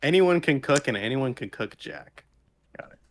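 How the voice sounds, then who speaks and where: A man's voice: mid-range voice, animated, moderate pace. Podcast co-host, male, mid-range voice, slangy and bantering, off-the-cuff conversational delivery. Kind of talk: casual chat.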